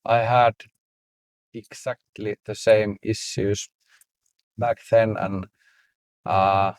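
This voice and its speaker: Slow-talking Finnish man, low voice. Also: little pitch movement, no echo. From Finland. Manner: unhurried, many "like" fillers. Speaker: podcast co-host, startup founder. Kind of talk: casual conversation.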